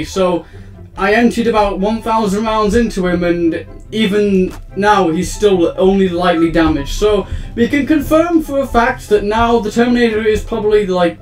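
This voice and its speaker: An excitable young man talks over his gameplay in a high, light voice, rambling and breaking into panicked exclamations. His mic sits a little far off in a roomy space, and soft guitar music plays underneath. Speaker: gaming YouTuber. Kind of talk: casual gameplay commentary.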